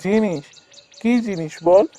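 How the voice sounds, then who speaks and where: An actor playing a poor, kindly woodcutter father in a cartoon, speaking in a gentle, plaintive, musing way. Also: medium pitch, unhurried, lightly acted. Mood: gentle, obliging. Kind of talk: cartoon voice acting.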